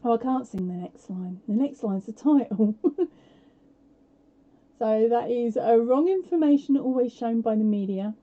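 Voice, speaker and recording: A woman hosting a live prize quiz on her stream, chatty and self-deprecating with lots of fillers, her voice a little croaky. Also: fairly high pitch, expressive, unhurried, mellow. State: slightly croaky voice. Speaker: podcast host running a live prize quiz. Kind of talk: casual live-stream chat. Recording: dull lo-fi home stream audio.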